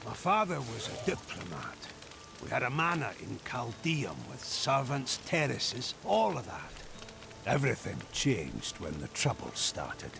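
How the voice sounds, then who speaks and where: A man's voice: mid-range voice, unhurried, creaky, full character voice. An actor playing a wistful, guarded well-born man in a fantasy video game, reserved and polite. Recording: steady rain noise behind the voice.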